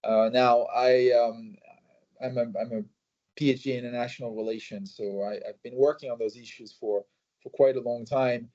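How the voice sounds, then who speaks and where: A man answering interview questions in a measured way, with many fillers and restarts. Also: mid-range voice, fairly even intonation, mellow. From France. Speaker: think tank president, guest. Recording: slightly muffled recording.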